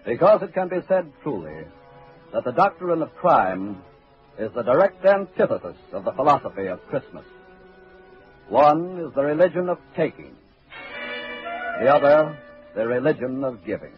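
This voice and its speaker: A man's voice: medium pitch, big pitch swings, normal speed, long pauses, faint music behind. Solemn male narrator, tinny old radio. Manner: formal, solemn, oratorical. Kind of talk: 1940s scripted radio narration. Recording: tinny 1940s radio recording.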